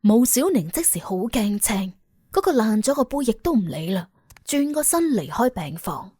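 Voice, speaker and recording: A woman's voice: a little high, big pitch swings, moderate pace, dark tone, husky, dry recording, clean studio sound. A woman with a Cantonese accent reading a scripted suspense audiobook as its narrator.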